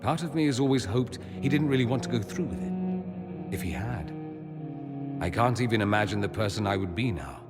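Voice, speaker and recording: A proud, wounded young mage played by a man with a wry, theatrical delivery that swings widely in pitch. He is refined and speaks in a reverberant hall with loud music behind him, as in a game cutscene. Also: expressive, normal speed, natural voice. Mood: wounded, reflective. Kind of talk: video game cutscene acting.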